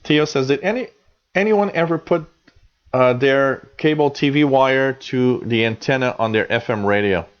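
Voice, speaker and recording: A man's voice: mid-range voice, normal speed. Canadian guy in his 40s hosting a live radio chat, rambly, lots of ums, kinda muffled lo-fi sound. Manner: chatty, rambling, many fillers and restarts. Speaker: radio show host reading chat.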